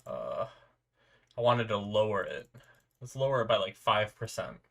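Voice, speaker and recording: A man's voice: fairly low pitch, big pitch swings, moderate pace, creaky, fairly bright tone. A man hosting an anime reaction video while sick, congested and with a sore throat, talking casually and rambling with frequent restarts. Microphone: desk mic with noise filter.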